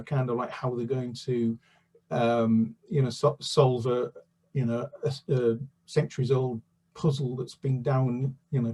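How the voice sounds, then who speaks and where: Hesitant older man, husky and distant. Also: mid-range voice, mellow. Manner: hesitant, many fillers and restarts. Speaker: children's author. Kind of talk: casual interview chat.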